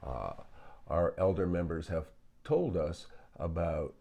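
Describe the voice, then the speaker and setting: An older man commenting on video clips as a psychologist, in a measured way with frequent pauses and fillers. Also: deep voice, vocal fry, mellow.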